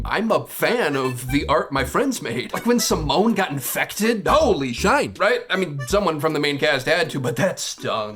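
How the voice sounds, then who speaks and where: A man's voice: on the high side, fairly bright tone. A man narrating a scripted, comedic video essay as host, with a sarcastic, theatrical manner and emphatic asides.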